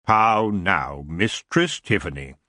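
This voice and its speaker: Languid elf king, deep and slow, as a man reads him. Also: animated, sharp tone, dry recording, clean studio sound, full character voice. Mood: intrigued. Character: ancient stinking antlered male elf king, lazy and menacing.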